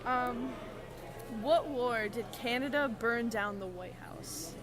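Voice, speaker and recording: Unhurried, higher-voiced young woman amid crowd chatter. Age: young adult. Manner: giggly, informal. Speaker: street quiz interviewer. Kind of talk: casual quiz interview. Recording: crowd chatter behind, on location.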